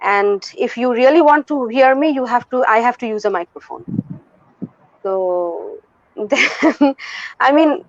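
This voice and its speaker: Soft-voiced Indian woman in her forties. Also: fairly high pitch, lively intonation, unhurried, dry recording. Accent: Indian English. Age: 40s. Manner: thoughtful, hesitant with many fillers and restarts. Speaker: guest vocalist and music teacher. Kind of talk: candid conversational interview. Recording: dull lo-fi dry recording.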